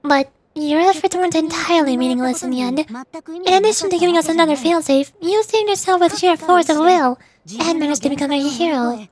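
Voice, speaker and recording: A woman's voice: very high voice, a little fast, a crowd in the background. A woman playing a stern, teasing, proud trickster grandmother in a video game. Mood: stern, composed. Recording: game music behind the voice.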